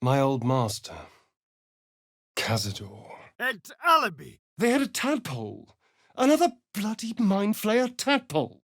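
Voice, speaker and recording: An actor playing a sly, vain vampire rogue in a video game, with an arch, sardonic, flamboyant delivery. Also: male voice, high voice, wildly swooping pitch, unhurried, a bit bright, dry recording, studio quality, exaggerated voice. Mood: dramatic, hushed, then incanting, then tense, theatrical. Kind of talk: video game voice acting, dramatic lines and narration.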